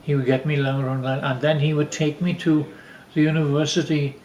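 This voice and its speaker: An older man casually telling family stories in a halting, rambling way. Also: a little high, level tone, darkish tone, reverberant, lo-fi sound. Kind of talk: casual reminiscing.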